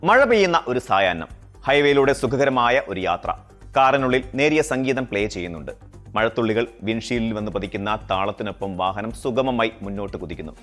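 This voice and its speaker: A man's voice: lowish voice, animated, a little fast, a bit bright. A man reading a scripted explainer narration in a dramatic storytelling style. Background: background music.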